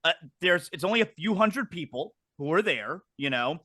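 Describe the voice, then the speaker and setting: A man's voice: fairly high pitch, expressive, normal speed, sharp tone, dry recording. A middle-aged man hosting a casual wrestling talk show, chatty and prone to repeating words as he restarts sentences. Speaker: podcast host.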